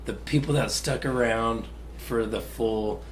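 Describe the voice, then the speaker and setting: A man co-hosting a casual soccer talk show, his voice raspy from a cold, relaxed and analytical with hesitant restarts. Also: mid-range voice, a little slow, a little hiss or hum. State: getting over a cold. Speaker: podcast co-host. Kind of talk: casual sports chat.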